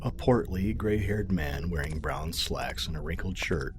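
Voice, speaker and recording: A man's voice: sits low, expressive, unhurried. A man reading scripted narration for an audio drama. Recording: clear background ambience noise.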